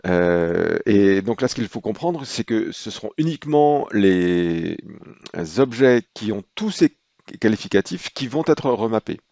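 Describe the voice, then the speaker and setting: A man calmly walking through a software procedure in a tutorial, pausing often with hesitations as he explains each step. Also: on the low side, vocal fry, no room sound, dull audio. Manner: unhurried, explanatory, frequent hesitations. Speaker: software trainer. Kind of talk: screen-recorded software tutorial.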